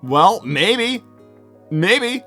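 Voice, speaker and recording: A man's voice: sits high, sing-song, extremely slow, sharp tone, heavily acted. A man voicing an easygoing, evasive young hedgehog hero in a cartoon fan dub, casual and rambling. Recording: background music under voice.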